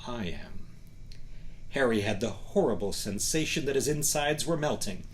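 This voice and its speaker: A man reading a children's fantasy novel aloud in an expressive, theatrical storybook narration style. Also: mid-range voice, normal speed, a little hiss or hum.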